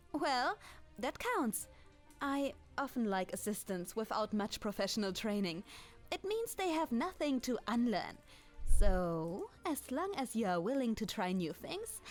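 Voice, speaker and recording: High-voiced, kindly German dragoness baker, acted by a woman. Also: big pitch swings. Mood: encouraging. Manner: gentle, apologetic, formal phrasing with halting hesitations and chuckles. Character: kind, clumsy, centuries-old dragoness baker with a hoard-free conscience. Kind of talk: ASMR roleplay, in-character monologue to the listener. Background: music.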